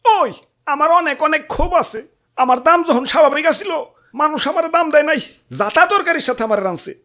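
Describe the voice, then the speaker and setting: A woman's voice: sits high, lively intonation, fast talker, fairly bright tone. A woman playing a boastful, touchy potato king in a cartoon, scolding pompously with a Bangladeshi accent. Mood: indignant. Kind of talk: comic cartoon acting.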